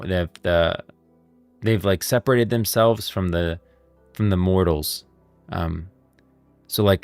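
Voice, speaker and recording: Low-voiced man, casual livestream rambling. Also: no echo. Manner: rambling, informal, thinking aloud. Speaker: streamer. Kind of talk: casual livestream lore commentary.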